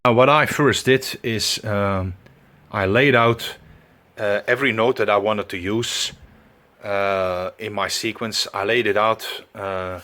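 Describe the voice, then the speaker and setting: Belgian guy, kinda low voice, really slow, lots of uh and um while he figures out how to say stuff. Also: steady tone, sharp tone. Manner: halting, many fillers, searching for words. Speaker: tutorial presenter. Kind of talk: instructional demonstration.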